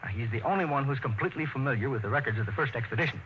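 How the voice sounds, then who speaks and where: A man's voice: medium pitch, lively intonation, racing, highs cut off, a little hiss or hum, lightly acted. A man playing a stern, weary space expedition captain in a mid-century radio drama, speaking and narrating in a clipped, commanding, dramatic way. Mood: grave, resolute. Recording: old radio broadcast with music behind.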